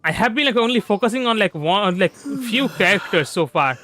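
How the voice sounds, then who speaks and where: A man with a notably high, bright voice gives casual gameplay commentary on a livestream. He rambles, circling back to repeat and restart phrases as he goes. Light music plays behind him. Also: animated, moderate pace. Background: soft background music.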